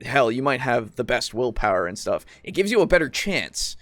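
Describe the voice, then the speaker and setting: A man co-hosting a podcast, talking casually about tabletop roleplaying in a halting, rambling way with frequent swearing. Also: mid-range voice, big pitch swings, fairly bright tone, dry recording, studio quality. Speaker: podcast co-host.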